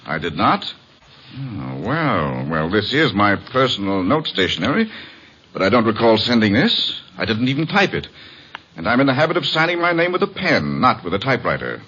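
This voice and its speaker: Evasive middle-aged husband, swooping intonation, fussy formal delivery full of hesitation, vintage radio drama, dull sound. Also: male voice, on the low side, sing-song, moderate pace, pushed and bright, slightly rough, exaggerated voice. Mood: puzzled, denying. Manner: hesitant, formal, fussily emphatic. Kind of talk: old-time American radio drama acting. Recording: muffled vintage radio recording.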